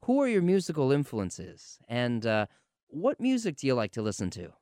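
A man's voice: mid-range voice, wildly swooping pitch, moderate pace, dark tone, dry recording, studio quality. A man hosting a music radio interview, putting prepared questions in a polite, courteous manner.

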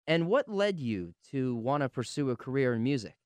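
A man's voice: mid-range voice, sing-song, normal speed, clean tone, dry recording. A man hosting a music radio interview, putting prepared questions in a polite, courteous manner.

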